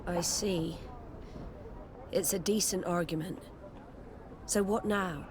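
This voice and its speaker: An actress playing a pragmatic, authoritative admiral of seafarers in a video game, with a curt, commanding manner. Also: female voice, fairly low pitch, steady tone, slow pace, noisy background. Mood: measured approval. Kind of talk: dramatic video game dialogue.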